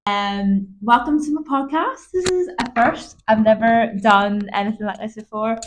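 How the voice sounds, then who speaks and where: A woman's voice: a little high, normal speed, slight background noise, low-quality recording. Chatty young woman host, filler-heavy. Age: 20s. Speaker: podcast host. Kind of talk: casual chat.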